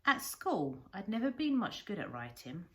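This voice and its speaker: A woman reading a storybook aloud like a primary teacher, with deliberate, expressive phrasing. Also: medium pitch.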